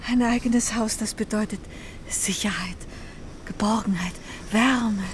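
An actress playing a timid, yearning young companion in a radio play, speaking earnestly and meekly. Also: female voice, medium pitch, measured pace, slight background noise, close to an everyday voice. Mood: wistful, yearning. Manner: earnest, meek, wistful. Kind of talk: German radio play acting.

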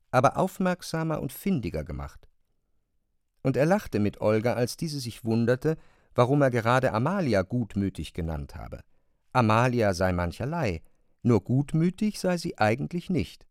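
A man narrating a literary radio play in measured, precisely phrased sentences. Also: mid-range voice, no echo, studio quality.

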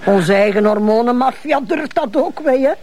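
A woman's voice: fairly high pitch, lively intonation, moderate pace, darkish tone. An actress playing a cheeky, teasing policewoman in a crime drama, with a Flemish accent.

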